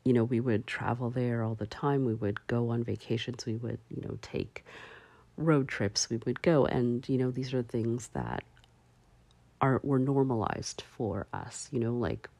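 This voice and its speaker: A woman hosting a solo talk show, speaking slowly and haltingly with many fillers. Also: extremely low pitch, darkish tone, dry recording. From parents from Barbados. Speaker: podcast host.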